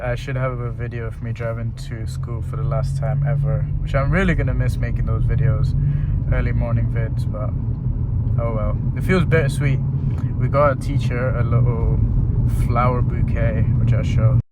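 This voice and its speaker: Loud car and road noise surrounds a young British man vlogging as he drives. His voice sits at an ordinary pitch, and he talks casually in slang, blunt and teasing. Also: fairly even intonation, moderate pace. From United Kingdom. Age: young adult. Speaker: driving vlogger. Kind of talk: casual chat while driving. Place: inside a moving car.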